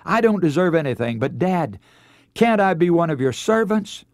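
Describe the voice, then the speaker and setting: A remorseful runaway son, acted out by a male preacher in a radio sermon. He pleads humbly in an American accent, halting and blaming himself. The voice is fairly high, with wide emotional swings. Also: very animated, no echo, studio quality. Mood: humble pleading. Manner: pleading, halting, self-reproaching.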